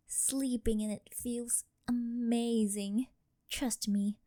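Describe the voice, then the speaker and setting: A woman's voice: sits high, warm tone, dry recording, clean studio sound. A woman voicing a rich, doting and possessive older girlfriend in an ASMR roleplay, coaxing and sweet-talking. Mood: coaxing, affectionate.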